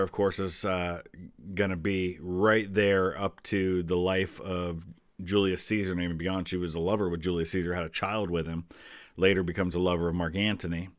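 A man's voice: sits low, a bit bright, dry recording, clean studio sound. A man in his forties giving casual, off-the-cuff commentary as a channel host, thinking aloud and explaining as he goes. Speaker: host of a history reaction channel.

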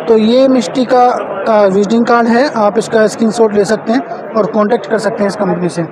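Trade-show interviewer, man, very high voice, crowd noise behind. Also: steady tone, a little fast, rough recording. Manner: chatty, polite, sums up points with "sir". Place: busy indoor exhibition hall.

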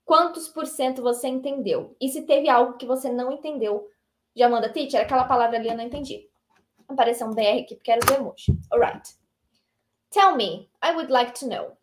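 Young brazilian woman, high voice, upbeat teacher reading english slowly into a desk webcam. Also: a little hiss or hum. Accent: Brazilian Portuguese. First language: Portuguese. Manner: lively, encouraging, reads English lines slowly. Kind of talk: online English lesson. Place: small indoor room.

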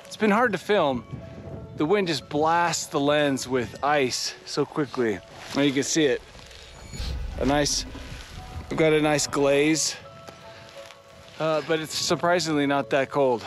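A man's voice: on the high side, slow pace, long pauses, soft background music. A middle-aged man vlogging as he climbs a snowy mountain, with dry, self-mocking humor and a slightly breathless voice, filmed on a handheld action camera. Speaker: ski vlogger. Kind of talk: vlog narration while climbing. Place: windy snowy mountainside outdoors. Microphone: action camera held at arm's length. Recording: loud wind noise on an outdoor camera mic.

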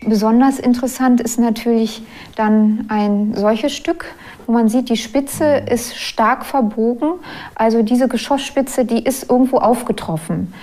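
Higher-voiced woman explaining. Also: a little slow, dark tone. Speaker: expert interviewee. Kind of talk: explaining a find.

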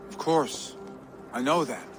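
A man playing a restless young Viking warrior, a grieving jarl's son, in a video game cutscene, speaking in an earnest, measured way. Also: mid-range voice, lively intonation, music in the background. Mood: subdued. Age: young adult.

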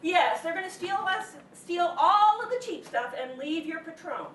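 High-pitched, frantic wife acted by a woman, echoey. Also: expressive, moderate pace, fairly bright tone. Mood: distraught. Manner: agitated, emphatic, repeats phrases. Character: frantic, exasperated wife whose home was burgled. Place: echoey room, far from mic. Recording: echoey room, distant mic, background noise.

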